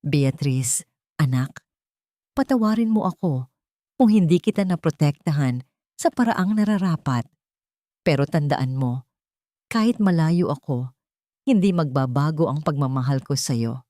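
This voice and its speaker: A Filipino woman voicing a remorseful, humble father in an audiobook drama, solemn and measured. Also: sits low, animated, dark tone, dry recording, clean studio sound. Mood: tender, apologetic. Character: remorseful humble father renouncing his family's fortune.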